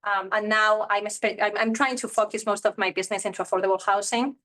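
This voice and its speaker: A woman's voice: medium pitch, fairly even intonation, fast, fairly bright tone. Chatty thirty-something woman from Spain. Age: 30s. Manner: chatty and candid, heavy use of "you know" and "like". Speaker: interview guest, real estate investor. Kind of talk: casual interview chat.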